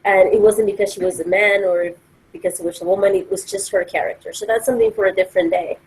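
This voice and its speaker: A woman's voice: mid-range voice, fast, a little mellow, low-quality recording. A woman speaking emphatically and argumentatively as she gives her views in a casual discussion. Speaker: discussion participant.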